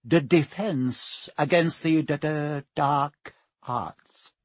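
A man's voice: on the high side, extremely slow, no room sound. A man voicing a pale, timid young male teacher who stammers on nearly every word. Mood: nervous.

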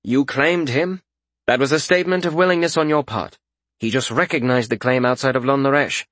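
A man playing a cool, deadpan king. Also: medium pitch, a little fast, no room sound, lightly acted. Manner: dry, calm, deadpan. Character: cool, detached young king.

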